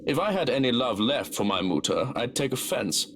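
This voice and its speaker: A composed head servant from a horror game, talks with dry formality. His voice is mid-pitched, with faint ambient noise behind it. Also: very animated, moderate pace, a bit bright. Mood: dry, concerned.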